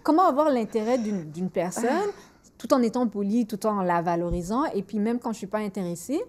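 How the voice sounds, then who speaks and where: Brief: woman, 40s, Montreal French accent, podcast host, candid and lively, slips into English mid-sentence, restarts when thinking. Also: mid-range voice, brisk. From Montreal, Canada. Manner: lively, switches freely between French and English, repeats words when thinking. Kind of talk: candid conversational storytelling.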